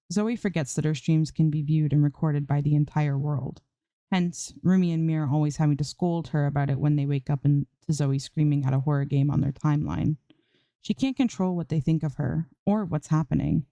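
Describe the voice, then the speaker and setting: A woman's voice: low voice, steady tone, normal speed, warm tone, no echo. A woman reading a story aloud as an amateur narrator, in scripted prose. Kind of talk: scripted fan fiction narration.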